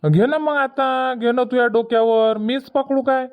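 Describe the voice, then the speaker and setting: Cartoon village boy, played by a man: high, whiny, stubborn, Varhadi Marathi accent, muffled lo-fi recording. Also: fairly even intonation, normal speed, smooth. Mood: argumentative. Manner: whiny, argumentative. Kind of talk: cartoon comedy dialogue. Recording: dull, muffled lo-fi audio.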